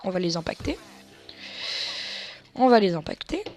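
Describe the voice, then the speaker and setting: A woman's voice: fairly low pitch, very animated, normal speed, a little mellow, soft background music. French woman with a cold commenting on a game. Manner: crude, joking, explains tactics to viewers. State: has a cold. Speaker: let's play gamer. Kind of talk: casual gameplay commentary.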